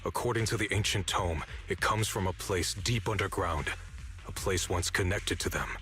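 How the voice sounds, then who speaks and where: An actor playing a grave, earnest older brother in a video game, speaking in a measured, formal way. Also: male voice, deep voice, a bit bright, soft background music. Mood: earnest, confessing. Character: grave, earnest older brother desperate to save his sister. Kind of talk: scripted video game voice acting.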